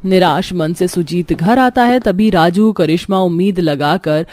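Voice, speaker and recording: A woman's voice: fairly low pitch, a little slow, a little mellow. A woman giving scripted narration for a cartoon family story.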